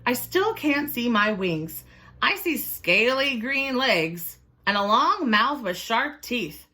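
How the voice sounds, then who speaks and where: Brief: clever little hen, played by a woman reading aloud, bright and deliberate. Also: fairly high pitch, big pitch swings, pitched above the performer's own voice, heavily acted. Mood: puzzled. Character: clever, plucky female chicken.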